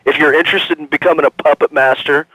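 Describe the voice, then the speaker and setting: An actor playing an excitable slacker dude phoning a radio show, talking in earnest, slangy surfer-bro style. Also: male voice, fairly high pitch, fast, no room sound, rough recording. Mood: enthusiastic. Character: excitable male slacker dude puppetry fan. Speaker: radio phone-in caller.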